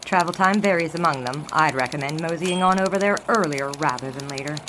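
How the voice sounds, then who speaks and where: Casting: female actor as sardonic 1920s newsroom secretary, lowish voice, dry and efficient, audio drama with noisy office behind. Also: a little fast, a bit bright. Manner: dry, clipped, sarcastic, efficient. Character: brisk, sardonic 1920s newspaper office secretary, long-suffering. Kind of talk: scripted period audio drama dialogue.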